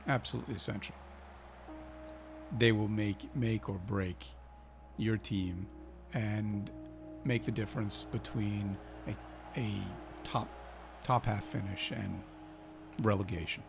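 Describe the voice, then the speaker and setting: Gravelly Icelandic man chatting over gameplay. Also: lowish voice, steady tone, slow pace, pauses often, over music. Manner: chatty, thinking aloud, cheering his team on. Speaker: gaming channel host. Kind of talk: casual football manager game commentary.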